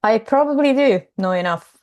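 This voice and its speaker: A woman's voice: a little high, animated, normal speed, a little mellow, lo-fi sound. A young woman co-hosting a casual podcast, cheerful and giggly as she asks the questions. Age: young adult. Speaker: podcast co-host, language teacher. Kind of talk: casual chat.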